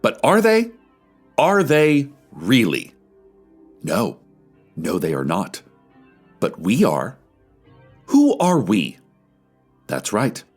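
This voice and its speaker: A man's voice: lowish voice, wildly swooping pitch, extremely slow, pauses often, faint music behind, full character voice. A man voicing a pompous government announcer who reads a public-service message with fervent, rhetorical emphasis. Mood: pompous, preachy.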